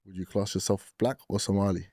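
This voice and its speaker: A British man questioning a passer-by in quick, probing questions. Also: sits low, flat delivery, dark tone, no echo, studio quality. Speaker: street interviewer.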